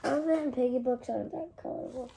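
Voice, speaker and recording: A child reading a picture book aloud, halting over the words and adding casual asides. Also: high-pitched, vocal fry, mellow, slight background noise. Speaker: storybook reader.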